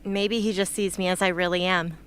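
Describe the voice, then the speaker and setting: A woman's voice: fairly low pitch, steady tone, brisk, clear, resonant tone, lightly acted. A woman playing a guarded, self-doubting young heroine in an amateur radio drama, speaking in a subdued, terse way. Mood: weary, guarded.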